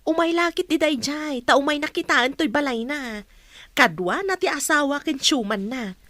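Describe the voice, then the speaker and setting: A woman's voice: sits high, lively intonation, moderate pace, fairly bright tone, no room sound. A woman acting an assured village gossip bringing news in a radio drama. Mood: assured, gossipy.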